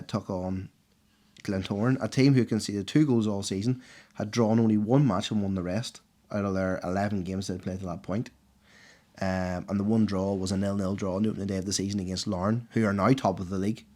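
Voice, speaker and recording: A man with a Northern Irish accent, his voice hoarse and sitting fairly low. He talks through the sport round-up in casual podcast chat, animated and eager, laughing easily as he goes. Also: a little fast.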